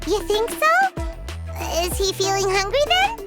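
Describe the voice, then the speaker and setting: A woman voicing a gentle, bubbly young girl with a tiny voice in a video game. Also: extremely high pitch, big pitch swings, a little slow, full character voice. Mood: gentle concern. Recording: music under the voice.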